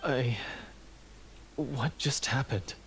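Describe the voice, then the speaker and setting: A man's voice: mid-range voice, drawn-out words, slight background noise. An actor playing a troubled, bitter and confused young man from a line of monster hunters in a video game, speaking earnestly and dramatically. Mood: dazed. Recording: music behind the voice.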